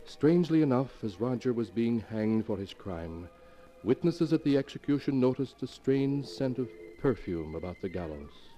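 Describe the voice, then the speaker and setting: A man's voice: mid-range voice, big pitch swings, moderate pace, dark tone, faint music behind, rough recording. A man narrating in the melodramatic scripted style of a 1940s radio announcer, on an old recording.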